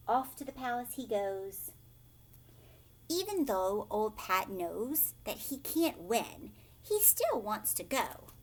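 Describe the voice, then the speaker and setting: Animated, unhurried woman reading a storybook. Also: on the high side. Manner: animated, dramatic, questioning, drops to hushed asides. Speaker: teacher reading aloud to young children. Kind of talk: expressive storybook read-aloud with asides.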